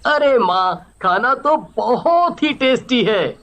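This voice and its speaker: A man playing a devoted young son and husband in a cartoon story, speaking in a gently reassuring way. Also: very high voice, big pitch swings, slow, heavily acted. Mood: familiar, easygoing. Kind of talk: Hindi cartoon story voice acting.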